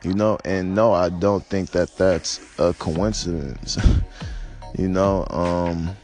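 A low-pitched American man with a slight rasp speaks off the cuff over music. His delivery is casual and laid-back, wandering and hesitant, with plenty of conversational filler. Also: fairly even intonation, takes it slowly, darkish tone. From United States. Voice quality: slightly raspy. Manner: hesitant, laid-back, rambling with frequent "you know" and "man". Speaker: podcaster or vlogger giving personal commentary. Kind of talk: casual unscripted monologue on news and life.

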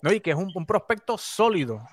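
A man's voice: sits high, big pitch swings, a little fast. A Puerto Rican man hosting a sports talk show, enthusiastic and often restarting his sentences. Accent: Puerto Rican Spanish.

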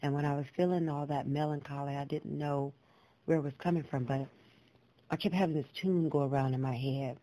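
A woman telling personal stories in a reflective, earnest, deliberate way, repeating phrases for emphasis, with clear, proper diction. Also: deep voice, fairly even intonation, dark tone, rough recording. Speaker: guest storyteller, poet and singer.

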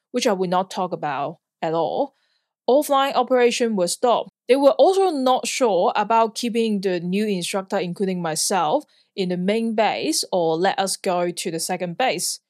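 A woman's voice: medium pitch, darkish tone, no echo, clean studio sound. Hong Kong-born woman telling her story. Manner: earnest, plainly worded, occasional light laugh. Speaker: podcast host, flying instructor. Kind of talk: personal storytelling monologue.

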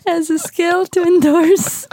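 A woman's voice: extremely high pitch, level tone, slow, dark tone, no echo. Young woman cohosting a podcast, chatty, laughs a lot, dry close mic. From Latvia. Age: young adult. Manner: chatty, laughs often, restarts sentences. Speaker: podcast co-host. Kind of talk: casual podcast chat.

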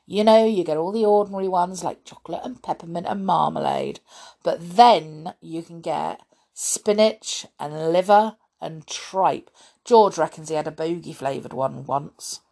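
A woman's voice: lowish voice, measured pace, vocal fry, warm tone. A woman voicing a blunt, chatty young wizard boy.

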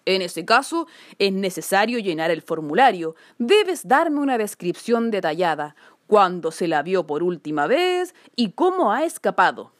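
Woman putting on a stern, officious policeman, animated delivery. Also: medium pitch, unhurried, dry recording, clean studio sound. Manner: officious, suspicious, scolding. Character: stern, pompous, bureaucratic adult male policeman. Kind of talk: children's storybook character voice.

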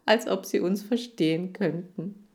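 A woman's voice: on the low side, unhurried, dark tone. A woman voicing a cheerful, lightly teasing young girl in a children's story. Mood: chatty. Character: cheerful young girl Kira who keeps hamsters.

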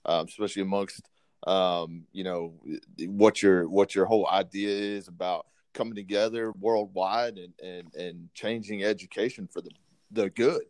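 A man hosting a podcast interview, supportive and hesitant, with many pauses and fillers. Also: deep voice, fairly even intonation, darkish tone, no echo. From United States. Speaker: podcast host.

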